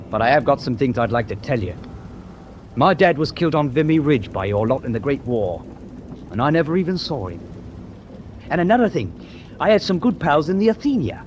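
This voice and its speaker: A man's voice: medium pitch, a little hiss or hum, lightly acted. A man playing an aggrieved working-class British sailor held prisoner in a radio war drama, blunt and colloquial. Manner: blunt, plain-spoken, colloquial.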